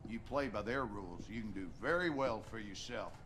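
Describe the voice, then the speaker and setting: A man's voice: lowish voice, lively intonation, a little fast, noisy background, lightly acted. Man acting a harsh, bitter Southern father. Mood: angry, contemptuous. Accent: Southern American. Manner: folksy drawl, domineering. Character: harsh, bitter, abusive American rural father. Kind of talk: video game drama acting. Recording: game music behind the voice.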